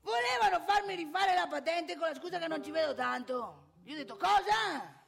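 Casting: actress as randy old man, high-pitched and gravelly, boastful radio-theatre monologuist who prods the listener. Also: animated, moderate pace, fairly bright tone, faint music behind, heavily acted. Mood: nostalgic, proud. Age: older. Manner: rambling, emphatic, addresses listener with rhetorical questions. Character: lusty, nostalgic, boastful old Italian man.

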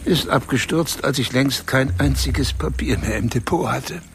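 An actor playing a cold, cynical investment banker in a radio play, speaking in a matter-of-fact, sardonic way. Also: male voice, mid-range voice, normal speed, soft background music, lightly acted.

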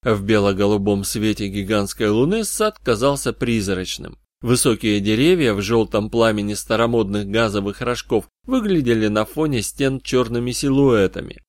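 A man's voice: fairly low pitch, normal speed, hardly any pauses, no room sound. Male audiobook narrator, dry close recording. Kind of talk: scripted first-person detective fantasy narration.

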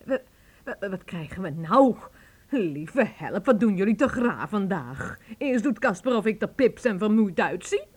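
An actress playing a good-natured, sharp-witted housekeeper in a children's audio drama, chiding in an expressive, familiar way. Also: female voice, medium pitch, measured pace, husky. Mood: puzzled, chiding.

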